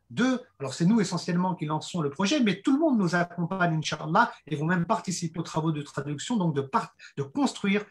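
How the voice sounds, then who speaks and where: A hoarse man giving an earnest fundraising presentation, halting with frequent fillers and restarts. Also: on the high side. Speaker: project presenter.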